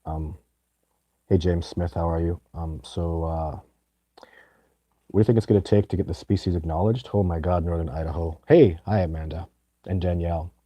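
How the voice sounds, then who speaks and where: A middle-aged man with a sore, raspy throat and a very low, dark voice talks through a live Q&A. He rambles and restarts often, pressing his points hard. The sound is close and dry, with no room around it. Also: steady tone, normal speed, long pauses, no echo. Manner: rambling, emphatic, many false starts. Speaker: livestream host answering viewer questions. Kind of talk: casual live chat.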